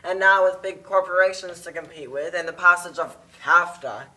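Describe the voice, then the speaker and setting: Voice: mid-range voice, moderate pace, pushed and bright, close to an everyday voice, rough recording. A performer voicing a weary, struggling small corn farmer in a puppet show, earnest and plaintive.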